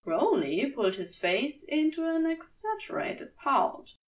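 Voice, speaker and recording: A woman reading a scripted story aloud as an amateur audiobook narrator, with expressive delivery. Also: high voice, a little slow, distant mic.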